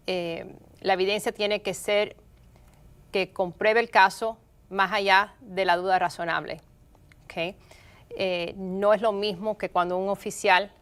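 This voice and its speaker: Middle-aged woman, smooth clear voice, measured explanations. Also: medium pitch, a bit bright. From Miami, of Cuban parents. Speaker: guest, state prosecutor. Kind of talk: interview answers.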